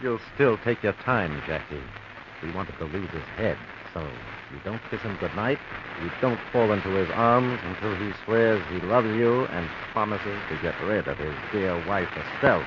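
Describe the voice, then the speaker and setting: A calculating older swindler in a vintage radio drama. His voice is on the low side, with a suave, controlling way of talking. The recording is dull and noisy. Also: animated, moderate pace. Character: suave, calculating older male con man, posing as guardian. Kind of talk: 1940s radio acting.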